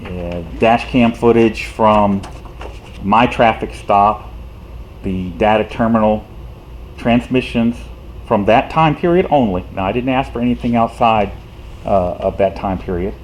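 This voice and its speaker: A man, a citizen activist, talking casually to the camera in a frustrated, rhetorical way, halting often with fillers. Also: on the low side, slight background noise, poor audio.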